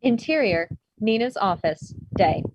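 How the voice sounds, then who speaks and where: Lively woman reading screenplay stage directions. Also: mid-range voice, a little slow, dry recording, poor audio. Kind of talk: scripted screenplay narration for a table read. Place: indoors, remote virtual table read.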